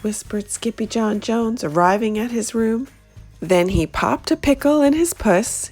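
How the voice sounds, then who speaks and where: A woman reading a children's picture book aloud, expressive and animated. Her fairly high voice swoops up and down, and she takes her time over every line. Faint music plays behind her. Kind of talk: read-aloud storytelling.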